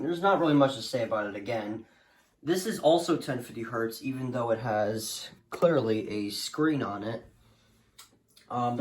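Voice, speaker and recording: A man giving a casual, unscripted show-and-tell as a hobbyist, speaking hesitantly and often trailing off. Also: mid-range voice. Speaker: hobbyist collector presenting a radio collection.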